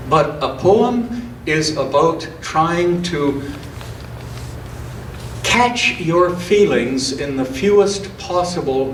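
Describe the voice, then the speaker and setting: A man reading scripture and poetry aloud in church, with informal commentary, in a measured, deliberate, reflective way. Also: on the high side. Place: church, speaking to a congregation. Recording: roomy, distant mic, faint noise.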